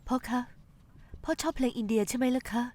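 A woman voices a caring young daughter-in-law. She speaks softly and politely, and her voice sits a little high and sweet. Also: fairly quick, close to an everyday voice. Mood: tender. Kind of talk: dubbed television drama acting.

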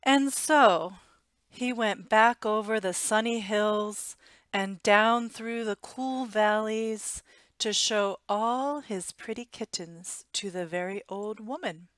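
An American woman in her thirties reading a children's picture book aloud in an expressive, deliberate way, on a headset mic in a small room at home. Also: mid-range voice, no echo. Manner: expressive, deliberate, simple storytelling for young children. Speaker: storytime reader.

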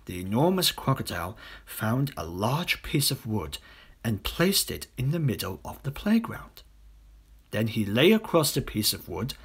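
A man reading a children's story aloud as an expressive audiobook narrator. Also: medium pitch, breathy edge. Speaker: audiobook reader.

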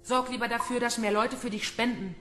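Actress as cold female captor, highish voice, taunting, menacing, echoey room, crime audio drama. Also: steady tone, a little fast, lightly acted. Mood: mocking. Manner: mocking, menacing. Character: cold, taunting female kidnapper, a vengeful daughter. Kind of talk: crime radio drama acting.

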